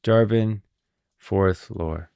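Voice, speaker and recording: A man's voice: fairly low pitch, drawn-out words, creaky, warm tone, smooth, no echo, clean studio sound. A man giving casual live stream commentary on game lore, musing and thinking aloud.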